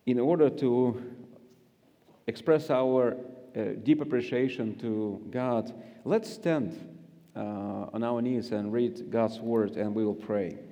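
Male guest preacher, Russian accent, unhurried, halting delivery, long hall reverb. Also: mid-range voice, dark tone. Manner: friendly, joking, halting with many fillers. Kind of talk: sermon with scripture reading.

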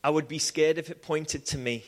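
A man from Liverpool with a Liverpool accent, preaching a sermon with personal testimony in an animated way, full of restarts and "um"s. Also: a little high, moderate pace. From England. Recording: long hall reverb.

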